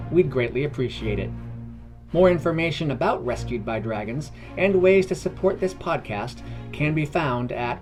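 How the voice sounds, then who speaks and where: A man reading scripted narration for a fantasy adventure podcast. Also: mid-range voice.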